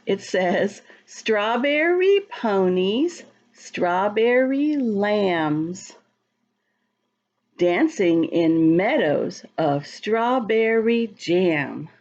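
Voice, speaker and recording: A woman reading a picture book aloud to children in a teacherly, expressive, sing-song way, explaining and asking questions as she goes. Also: mid-range voice, extremely slow, dull audio. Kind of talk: read-aloud storytime with asides to children.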